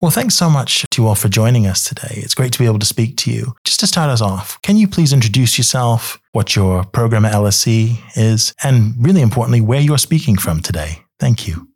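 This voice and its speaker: A man's voice: mid-range voice, expressive, brisk, hardly any pauses, husky, no echo, clean studio sound. A man hosting a podcast, giving scripted introductions and interview questions. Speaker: podcast host.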